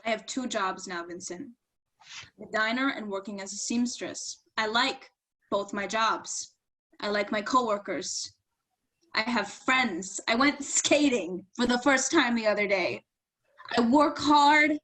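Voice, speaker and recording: A woman performs an anguished young woman in a realistic stage drama, with a fairly high voice. She sounds pained and earnest, yet resolute and defiant toward the brother she is escaping. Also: fairly bright tone, rough recording. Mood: weary, resolute. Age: young adult.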